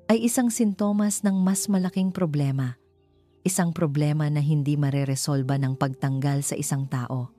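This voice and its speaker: Calm, authoritative Filipino businessman played by a female narrator for a romance audio story, mellow and formal. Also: sits low, faint music behind, natural voice. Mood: firm, calm. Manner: measured, formal, emphatic public-speech delivery. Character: confident, commanding male airline CEO, calm and firm.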